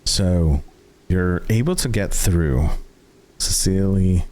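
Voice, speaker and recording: A man runs a tabletop roleplaying game in a very deep voice. He narrates slowly and deliberately, with dramatic pauses and plenty of melodic movement, over soft music. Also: animated. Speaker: game master. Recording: background music under the voice.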